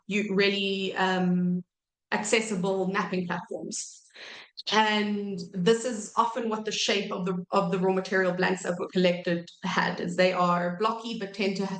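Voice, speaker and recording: A woman in her thirties giving an academic lecture while croaky from a cold, pausing often with ums and correcting herself. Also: fairly low pitch, reverberant. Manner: hesitant, many ums and self-corrections. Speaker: archaeology researcher giving a talk.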